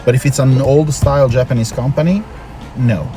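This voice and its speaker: A man's voice: medium pitch, fairly even intonation, normal speed, mellow. A man from Italy chatting casually as an interview guest, explaining things at length with emphatic repetition. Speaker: interview guest, foreign worker in Japan. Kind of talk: casual street-interview chat. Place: in or by a car. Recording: music behind the talk.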